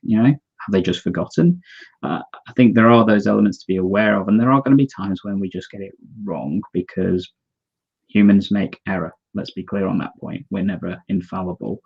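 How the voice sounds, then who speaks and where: A man's voice: deep voice, brisk, gravelly, breathy edge, lo-fi sound. A man co-hosting a live discussion, explaining his views earnestly and reading out viewer comments. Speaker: co-host.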